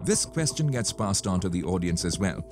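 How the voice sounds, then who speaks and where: Male quiz show host, low pitch, lively intonation, measured question reading, music underneath. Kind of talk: scripted quiz show presenting.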